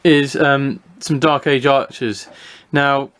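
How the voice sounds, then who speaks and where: A man's voice: medium pitch, flat delivery, takes it slowly, a bit bright, clean tone. A British man giving a casual, unscripted hobby review, talking through what he shows in a hesitant, rambling way, thinking aloud.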